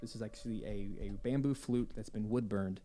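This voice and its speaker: A man's voice: on the low side, fairly quick, background noise. A man speaking as an audio drama composer, explaining his working process in a podcast interview. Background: music playing behind the voice.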